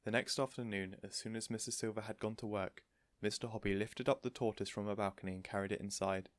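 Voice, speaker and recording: A man with a fairly low voice reads a children's story aloud. It is scripted audiobook narration, recorded dry with very little room sound. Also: steady tone, fast talker, no echo. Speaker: audiobook reader.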